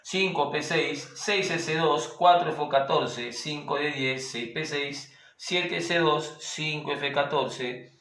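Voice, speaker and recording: Bright-voiced chemistry teacher. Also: male voice, a little high. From Peru. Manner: addresses students directly and voices their questions; slows to a sing-song when dictating orbitals. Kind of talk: whiteboard classroom lesson. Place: small room at a whiteboard. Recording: echoey room.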